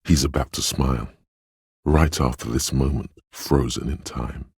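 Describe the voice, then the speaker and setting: An extremely deep, heavily husky male voice narrates as the player's sense of empathy in a video game. It is gentle, perceptive and sorrowful, with measured, solemn phrasing. The sound is dry and intimate. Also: fairly even intonation, dry recording, heavily acted. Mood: gentle, observant. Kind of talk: scripted second-person game narration.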